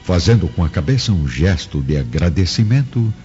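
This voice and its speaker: A man's voice: sits low, big pitch swings, normal speed, dark tone. A man giving dramatic scripted narration for an audio novel. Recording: dull lo-fi recording over music.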